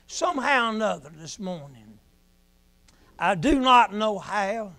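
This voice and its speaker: A middle-aged man preaching a sermon from the pulpit of a small church. He swings between loud, shouted lines and quiet, hushed asides. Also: sits high, big pitch swings, measured pace, vocal fry. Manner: preaching in rising shouts, then dropping to a hush.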